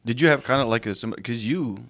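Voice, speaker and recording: A man's voice: mid-range voice, a little fast, a bit bright. A man hosting a casual conversation, playful and teasing, joking along with his guests. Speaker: podcast host.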